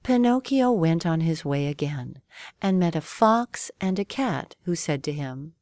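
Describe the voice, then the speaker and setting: Woman narrating children's stories, very lively intonation. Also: low-pitched, unhurried, no echo. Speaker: storyteller. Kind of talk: scripted children's story narration.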